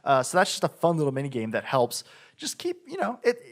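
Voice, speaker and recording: A man's voice: fairly high pitch, huge pitch swings, a little fast. Rambling male podcast co-host. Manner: rambling with many fillers and restarts. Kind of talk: casual game review chat.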